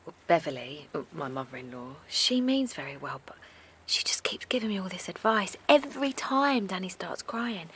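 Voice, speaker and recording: A frazzled, exhausted young mum. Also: female voice, on the low side, animated, moderate pace, fairly bright tone, slight background noise. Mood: anxious weary. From Essex. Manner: anxious, apologetic, rambling, often half-whispered. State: worn out. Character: exhausted young mother of a colicky newborn. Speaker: new mother. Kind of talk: naturalistic audio drama acting. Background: faint outdoor seaside ambience.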